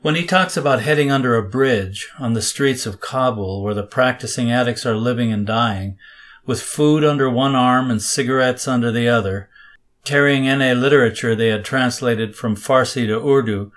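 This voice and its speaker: A man hosting a podcast, narrating an introduction in a steady, scripted read. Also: medium pitch. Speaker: podcast host.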